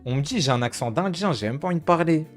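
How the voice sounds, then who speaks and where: A man co-hosting a live stream, talking in a slangy, excitable way and laughing often. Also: on the high side, quick pace, soft background music. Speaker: co-host of a stream. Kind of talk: casual live stream chat.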